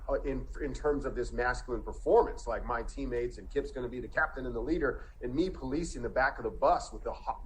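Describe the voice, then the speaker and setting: A man's voice: mid-range voice, a little hiss or hum, rough recording. Earnest man in his forties on a video call. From Montana. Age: 40s. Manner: earnest, thoughtful, with frequent restarts. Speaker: coach and panelist. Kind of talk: reflective panel discussion. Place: indoor room, video call.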